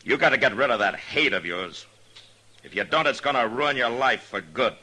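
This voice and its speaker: An American actor playing a stern, steady Old West marshal in a radio western, terse, authoritative and unhurried. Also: male voice, mid-range voice. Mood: stern, counselling. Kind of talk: mid-century American western radio drama acting. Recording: noisy vintage radio recording.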